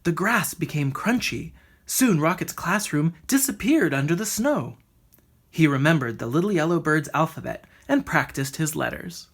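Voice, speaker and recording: A woman reading a children's storybook aloud in an expressive narrating style. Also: low-pitched, moderate pace, husky. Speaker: storybook reader.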